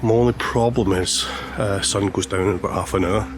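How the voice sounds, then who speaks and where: A hoarse man, chatting casually as he vlogs outdoors about landscape photography, with many pauses and fillers. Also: lowish voice, fairly even intonation, noisy background. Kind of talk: casual vlog narration. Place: outdoors on a lakeshore, walking. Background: background music.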